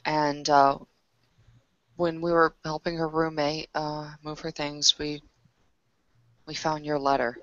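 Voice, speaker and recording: A woman voicing an earnest, worried young woman who speaks politely and haltingly in a roleplaying game. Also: deep voice, flat delivery, no echo, muffled recording.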